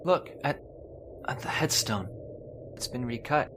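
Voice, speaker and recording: A man's voice: mid-range voice, expressive, unhurried, noisy background, close to an everyday voice. Man playing an anguished husband, swooping delivery. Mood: grieving, shaken. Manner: earnest, pleading. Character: anguished, devoted husband of a possessed wife. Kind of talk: old-time radio drama acting.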